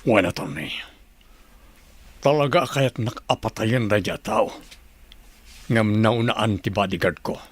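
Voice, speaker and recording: A stern, demanding governor in an Ilocano radio drama. His voice is low and husky, with a Filipino Ilocano accent. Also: slow, long pauses. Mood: grave, resolute.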